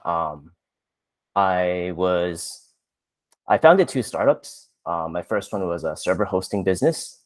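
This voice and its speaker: A man in his thirties from Canada, chatting casually on a podcast, relaxed and self-deprecating with lots of fillers. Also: low-pitched, takes it slowly. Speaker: podcast co-host, software engineer.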